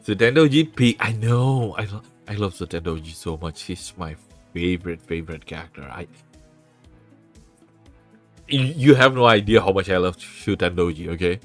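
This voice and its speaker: A man's voice: deep voice, lively intonation, moderate pace, faint music behind. A young man with an Indonesian accent, chatting casually on a live stream, laughing often, swearing and restarting words. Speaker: VTuber streamer.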